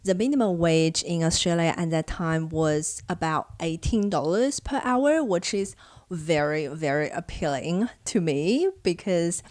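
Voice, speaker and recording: A young woman vlogger from China, speaking English as her second language, talks through her own experiences in a relaxed storytelling way. Her voice sits a little low, and the sound is close and dry with no room around it. She perks up and turns lively whenever she replays old conversations. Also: measured pace, no room sound. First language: Chinese. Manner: animated when acting out past conversations. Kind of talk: casual storytelling to camera.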